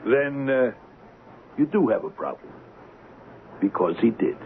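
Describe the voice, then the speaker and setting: Sardonic seafaring blackmailer, theatrically lively. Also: male voice, medium pitch, a little slow. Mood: taunting. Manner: mocking, theatrical, taunting. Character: bitter, sardonic drunken ship's engineer turned blackmailer. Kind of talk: old-time radio drama acting. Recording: lo-fi, noisy radio drama recording.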